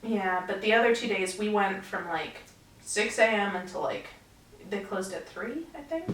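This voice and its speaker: A young woman co-hosting a casual podcast, chatty and quick to laugh. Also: medium pitch, expressive, a bit bright, far from the mic. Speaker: podcast co-host. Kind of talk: casual chat. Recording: echoey room, voice off-mic.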